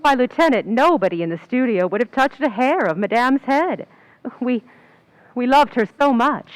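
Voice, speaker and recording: A woman's voice: on the high side, expressive, moderate pace, no room sound, natural voice, poor audio. A woman playing a young, ambitious movie stand-in with a guilty secret, confiding in old-time radio suspense style. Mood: gushing, defensive.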